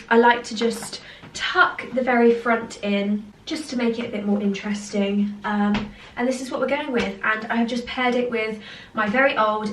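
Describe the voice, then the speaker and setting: A woman's voice: on the high side, slight background noise, low-quality recording. A woman talks casually to a handheld camera at home, her voice nasal from a cold. She is chatty and upbeat, the easy tone of a home decor vlogger. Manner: chatty and upbeat, frequent little laughs. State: has a cold. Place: at home, indoors.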